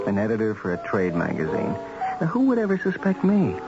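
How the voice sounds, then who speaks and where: An American actor playing a smooth magazine editor who recruits contract killers in a 1970s radio drama, insinuating and matter-of-fact with casual hesitations. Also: male voice, lowish voice, huge pitch swings, a little fast, exaggerated voice. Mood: coolly persuasive. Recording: muffled old radio broadcast, music bed.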